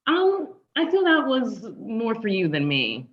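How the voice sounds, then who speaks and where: A woman's voice: on the high side, huge pitch swings, a little slow, muffled recording. A woman playing a confrontational, wounded ex-girlfriend in a conversational table read, pointed and sarcastic. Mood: sarcastic, hurt. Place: online live stream table read.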